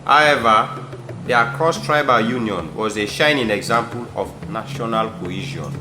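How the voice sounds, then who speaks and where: A man's voice: fairly low pitch, normal speed. A man with a Sierra Leonean accent giving scripted narration for a historical audio drama. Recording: echoey room under clear music.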